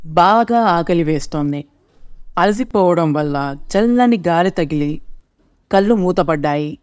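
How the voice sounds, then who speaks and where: A man's voice: fairly high pitch, lively intonation, unhurried, clean tone, dry recording, studio quality. A man narrating a scripted cartoon story as its storyteller.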